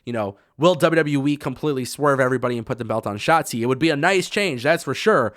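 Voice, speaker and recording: A man hosting a wrestling news show, his voice raspy from a cold, talking casually and giving his opinions with lots of fillers. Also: medium pitch, quick pace, fairly bright tone, no echo, clean studio sound. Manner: chatty and opinionated, with many fillers.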